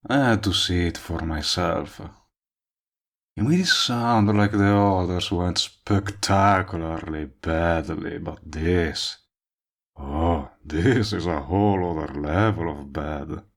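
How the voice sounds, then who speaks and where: A man's voice: low-pitched, full character voice. A man playing a cocky, lovestruck villain in an audio roleplay, teasing and coaxing the listener he is wooing. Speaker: voice actor. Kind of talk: intimate audio roleplay acting addressed to the listener.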